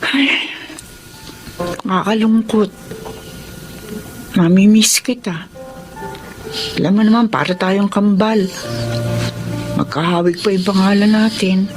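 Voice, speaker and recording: A woman playing a caring best friend in a radio drama, gently admonishing and concerned. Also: mid-range voice, fairly even intonation, takes it slowly, long pauses, over music, lightly acted. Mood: sad, supportive. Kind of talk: melodramatic radio drama acting.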